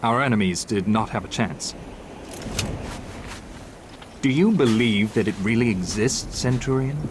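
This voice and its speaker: An actor playing a respectful Roman soldier in a video game cutscene, speaking formally and courteously. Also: male voice, fairly low pitch, animated, a little slow. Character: Roman soldier, respectful comrade of his centurion. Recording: noisy game audio capture.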